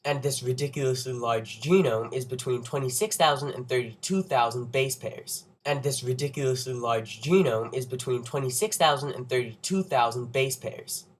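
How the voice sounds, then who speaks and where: A man's voice: mid-range voice, normal speed, hardly any pauses. A young man with a cold and a congested voice, giving an informal science explainer with wry, nerdy, self-joking asides. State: under the weather with a cold. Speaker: presenter of an educational video.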